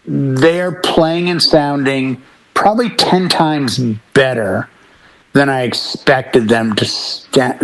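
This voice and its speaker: A middle-aged man co-hosting a casual music chat, telling stories with deliberate pauses and emphasis. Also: medium pitch, fairly bright tone, low-quality recording. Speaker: talk show co-host.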